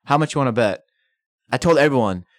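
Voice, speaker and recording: A man's voice: medium pitch, lively intonation, fast, no echo, clean studio sound. A man from New York, a guest on a sports podcast, telling sports stories with emphatic energy. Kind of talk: casual sports chat.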